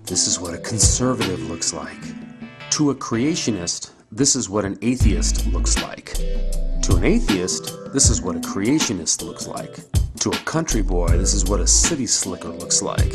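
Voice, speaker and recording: A man's voice: deep voice, expressive, normal speed, over loud music. An American man from California giving a scripted, persuasive monologue, speaking directly and rhetorically to the viewer. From San Jose, California, United States. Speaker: video blogger.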